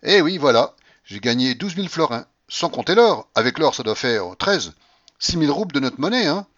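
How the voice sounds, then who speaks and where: A man's voice: on the high side, big pitch swings, moderate pace, a bit bright, muffled recording, voice pushed up. A man voicing an imperious, eccentric rich old Russian grandmother in an audiobook, blunt and bossy. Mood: elated, commanding.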